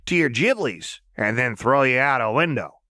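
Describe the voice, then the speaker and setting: A man's voice: medium pitch, expressive, normal speed, pushed and bright, dry recording, studio quality, pitched above the performer's own voice. A man voicing a manic, gleefully menacing young woman in an audiobook, with chirpy, taunting, slangy chatter. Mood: playful menace.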